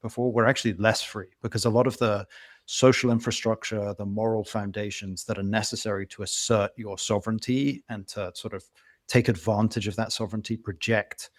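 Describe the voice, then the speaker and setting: A man's voice: on the low side, little pitch movement, a little fast, dry recording. Earnest British man in a dry interview. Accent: British English. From England (London). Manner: earnest, reasoning aloud at length. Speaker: author guest. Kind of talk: conversational interview.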